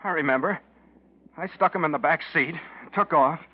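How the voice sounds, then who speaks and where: A dazed, bewildered man, as a male actor plays him in a 1940s radio play. His voice is fairly high and husky, groggy yet urgent, on a muffled old recording. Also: moderate pace, close to an everyday voice. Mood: dawning urgency.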